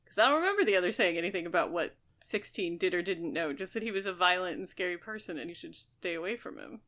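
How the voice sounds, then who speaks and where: A woman co-hosting a podcast, discussing a book casually and bluntly, thinking out loud as she goes. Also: on the low side, expressive, fast talker. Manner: informal, blunt, speculative. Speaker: podcast co-host.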